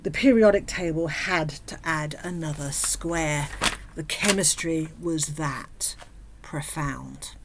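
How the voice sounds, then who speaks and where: A woman narrating a scripted comic story in a sardonic, theatrical manner, with mock-grand wording and drawn-out dramatic pauses. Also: deep voice, noisy background. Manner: mock-grand wordy phrasing. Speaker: storyteller host.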